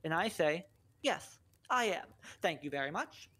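Earnest opinionated friend, kinda low voice, matter-of-fact storyteller, comic radio play. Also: female voice, expressive, unhurried, a bit bright, breathy edge, exaggerated voice. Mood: earnest storytelling. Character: earnest opinionated woman friend, spinning a ghost tale.

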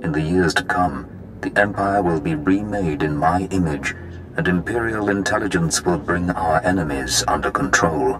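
Man acting a very deep, imperious Sith lord. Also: music in the background, close to an everyday voice, poor audio. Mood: triumphant. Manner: pompous, commanding, deliberate. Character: menacing, imperious male Sith lord seeking to rule. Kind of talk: dramatic video game voice acting.

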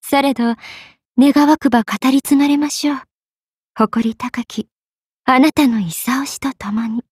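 A woman's voice: sits high, fairly even intonation, a little slow, breathy edge, no room sound, clean studio sound. A woman voicing a playful, money-loving young half-spirit merchant sorceress in an anime game, with a teasing, polite lilt. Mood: solemn, regal. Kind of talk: Japanese anime game character voice acting.

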